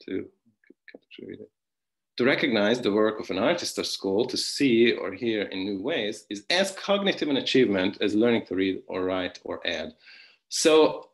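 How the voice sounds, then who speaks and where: Low-voiced Hungarian man giving a wry lecture. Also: animated, a little slow. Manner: digressive, many restarts and fillers, wry asides. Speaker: philosophy lecturer. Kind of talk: academic conference talk. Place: online conference talk.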